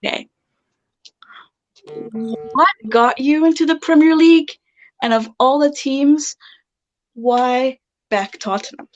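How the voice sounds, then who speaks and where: A woman's voice: high voice, slow, long pauses, lo-fi sound, slight background noise. A woman fan asking a question in a casual chat, hesitant, with nervous little laughs.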